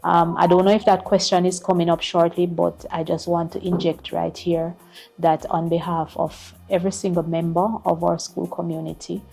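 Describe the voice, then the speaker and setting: A woman's voice: on the low side, little pitch movement, moderate pace, vocal fry, mellow, soft background music. A middle-aged woman, a school principal giving earnest interview answers and repeating words as she finds them. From Jamaica.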